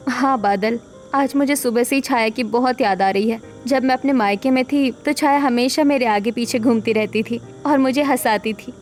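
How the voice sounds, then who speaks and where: A woman voicing a gentle young married elder sister in a family cartoon. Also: fairly high pitch, moderate pace, faint music behind, lightly acted. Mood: wistful.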